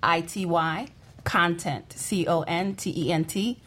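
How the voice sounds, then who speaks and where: A woman's voice: fairly low pitch, a little slow, fairly bright tone. A woman speaking as an expert guest in a radio interview, explaining things emphatically. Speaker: marketing consultant, show guest.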